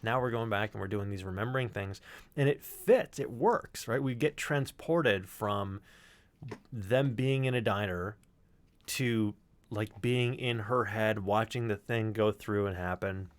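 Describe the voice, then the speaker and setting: A man talking as a sound designer, explaining his work casually in an animated, discursive way. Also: lowish voice, measured pace.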